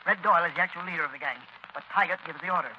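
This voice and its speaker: A muffled, noisy old radio recording. An actor plays a frightened male doctor, talking very fast in a nervous, pleading and hesitant way. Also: fairly high pitch, natural voice. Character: frightened, earnest male doctor held by gangsters. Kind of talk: 1930s-40s radio drama acting.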